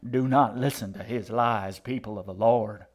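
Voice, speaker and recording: A fierce old woman zealot, as a male narrator plays her, declaiming with righteous fury in a storybook reading. The voice is loud and grating, thick with hatred and holy certainty. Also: mid-range voice, animated, measured pace. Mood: fervent anger. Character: fanatical hate-filled old woman preacher, secretly a demon. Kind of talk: audiobook character acting.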